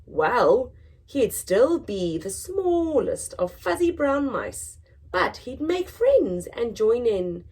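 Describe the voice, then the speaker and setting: A woman reading a children's picture book aloud in a dramatic, expressive storytelling manner, moving between hushed and emphatic delivery. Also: a little high, takes it slowly, husky. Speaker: story time reader.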